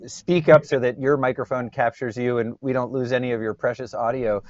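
A man hosting an interview series, talking loudly in a joking, rambling way over a video call. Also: medium pitch, fairly even intonation, fairly quick. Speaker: host. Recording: dry, muffled audio.

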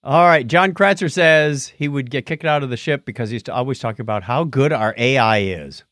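A man's voice: mid-range voice, moderate pace, a bit bright, no room sound, studio quality. A man co-hosting a podcast in casual, comedic banter, with a joking, playful manner. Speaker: podcast co-host.

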